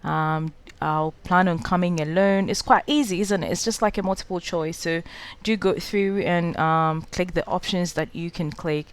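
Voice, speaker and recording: Young Ghanaian-raised woman, lowish smooth voice, hesitant tutorial delivery. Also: steady tone, normal speed. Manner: hesitant, many "um" and "uh" fillers, advising directly. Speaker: scholarship guide host. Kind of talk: screen-recorded walkthrough tutorial.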